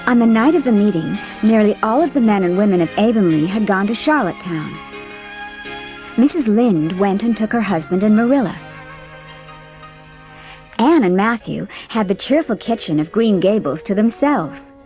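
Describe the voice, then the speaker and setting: A woman narrator reading a scripted storybook in a measured, expressive way. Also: mid-range voice, faint music behind.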